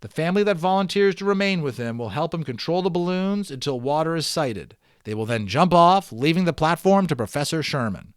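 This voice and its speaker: A man reading a children's story aloud dramatically, with offhand, chatty asides in an expressive manner. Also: a little high, fairly quick, few pauses, clean tone, dry recording, studio quality. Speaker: amateur audiobook reader.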